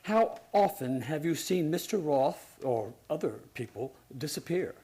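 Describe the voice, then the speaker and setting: An actor playing a probing, dismissive hospital psychiatrist in a radio play, with a clinical, insistent manner. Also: male voice, a little high, expressive, a little slow, dark tone, slight background noise.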